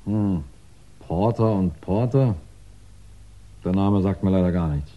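An actor playing a measured, authoritative male chief inspector in a 1960s German radio crime play. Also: deep voice. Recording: dull 1960s radio recording.

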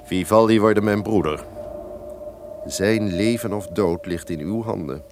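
An actor playing an imperious, menacing duke in an old-fashioned radio drama, speaking formally, theatrically and with command. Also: male voice, fairly low pitch, unhurried, background noise, close to an everyday voice. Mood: coldly coercive.

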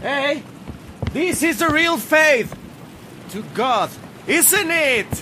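Un actor que interpreta en una radionovela a un capitán de barco extranjero, efusivo, con acento de angloparlante y español entrecortado. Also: male voice, very high voice, animated, drawn-out words, heavily acted. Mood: amazed. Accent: English-speaking foreigner's. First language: English. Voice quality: broken Spanish mixed with English exclamations. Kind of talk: radio drama acting. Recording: rain and boat noise behind.

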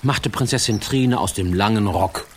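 A man narrating a fairy-tale radio play in a wry, playful storytelling manner with drawn-out emphasis. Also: lowish voice, background noise.

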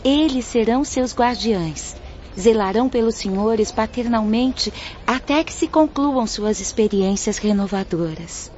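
A woman playing a gracious, gentle aristocratic teenage girl spirit in a radio drama, speaking formally and courteously. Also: on the high side, unhurried, close to an everyday voice. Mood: gentle, welcoming. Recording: dull sound with faint background noise.

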